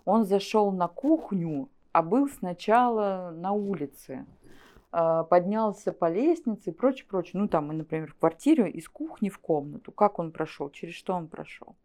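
Woman, interview guest, dark timbre, fairly low pitch, conversational, explanatory. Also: lively intonation, normal speed. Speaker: animation studio guest.

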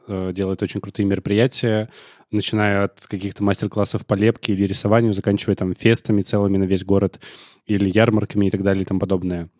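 A man co-hosting a music podcast speaks earnestly and warmly about what inspires him, with little hesitations along the way. His voice sits fairly low and the recording is dry and close. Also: no room sound. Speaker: podcast co-host. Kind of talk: casual chat.

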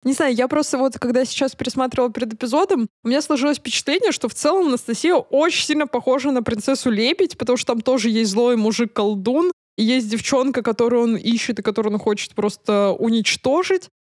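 Young woman, fairly high conversational voice. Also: fast talker, few pauses, darkish tone, no echo, studio quality. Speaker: podcast co-host. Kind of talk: casual chat, discussing films.

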